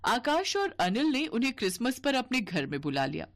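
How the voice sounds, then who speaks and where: A woman's voice: on the high side, big pitch swings, moderate pace. A woman narrating a scripted cartoon story as its storyteller.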